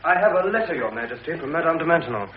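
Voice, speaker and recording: An actor playing a brisk, respectful royal courtier in a vintage radio drama. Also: male voice, on the high side, animated, natural voice. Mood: formal. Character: brisk, respectful courtier announcing letters to king. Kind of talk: 1950s British radio drama acting. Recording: echoey muffled noisy radio recording.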